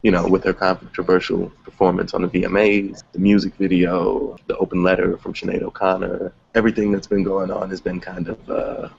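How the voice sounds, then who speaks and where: A man's voice: low voice, flat delivery, fairly quick, vocal fry, hardly any pauses, dull audio, a little hiss or hum. A man from New Jersey hosting a casual music talk show. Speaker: host.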